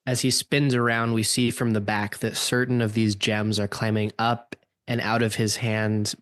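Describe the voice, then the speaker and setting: A man's voice: on the low side, flat delivery, normal speed, fairly bright tone, no room sound, studio quality. A man setting scenes as narrator of an improvised radio play, describing the action as it unfolds.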